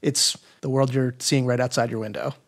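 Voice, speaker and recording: Male interview guest, average pitch, agreeable explainer who teaches through rhetorical questions. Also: fairly even intonation, a little fast, creaky. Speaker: guest expert, audio drama maker. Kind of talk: casual explanatory interview talk.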